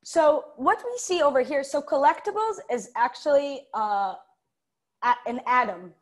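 A woman's voice: sits high, expressive, unhurried. A young woman giving an informal tech talk over a video call, chatty, giggly and self-deprecating. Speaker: conference speaker, software developer. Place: indoors, room with bookshelves.

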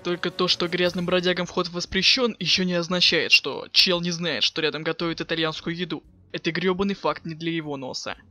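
A man voicing a cool, sarcastic teenage boy with offhand deadpan delivery in a webcomic dub. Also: high-pitched, a little fast, few pauses, faint music behind. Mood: sardonic. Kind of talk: fan dub of a webcomic chat.

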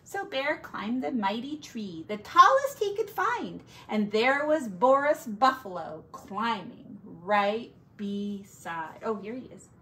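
Woman, children's storyteller, unhurried pace, lively swooping intonation, playful emphasis. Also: on the high side, a little hiss or hum. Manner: animated, drawn-out emphasis with playful asides. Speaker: teacher reading aloud to children. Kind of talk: expressive picture-book storytelling for young children.

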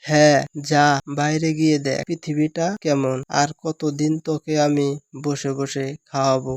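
A man's voice: fairly high pitch, little pitch movement, normal speed, a little mellow, no echo, studio quality, natural voice. A man playing a scolding, two-faced uncle in a cartoon story. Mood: dismissive.

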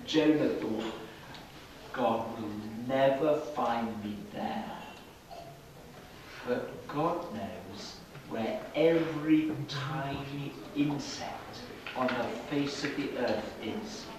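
A middle-aged man telling a Bible story to young children in an animated way, pausing and putting questions to them. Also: medium pitch. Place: children's classroom with seated young audience. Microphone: distant camera at back of room. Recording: echoey, noisy room recorded from far off.

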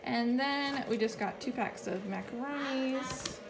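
A woman in her thirties, a mother casually listing her groceries in a relaxed, chatty way, filmed on a phone in her home kitchen. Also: high voice, unhurried. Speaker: mother filming a grocery haul. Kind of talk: casual grocery haul narration. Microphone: phone camera held over counter. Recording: noisy home phone recording. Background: loud background noise, kids talking.

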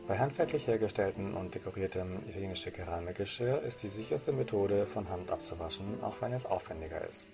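A man's voice: deep voice, lively intonation, a little fast, vocal fry, hardly any pauses, over music. A man from Germany presenting, reading scripted narration for a slide presentation in a formal way. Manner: formal, read-out delivery.

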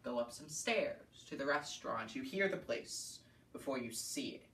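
A man playing an embittered, scornful painter in a deliberate, intense theatrical monologue. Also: mid-range voice, expressive, slight background noise. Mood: brooding scorn. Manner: deliberate, swelling from hushed to snarling. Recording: echoey room, distant mic.

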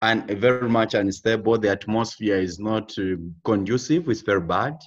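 A man's voice: fairly low pitch, moderate pace, lo-fi sound. A young man from Zambia with a Zambian accent, talking formally and steadily on a video call interview, often repeating his key phrases. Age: young adult. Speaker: interview guest. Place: indoor room with exposed roof beams.

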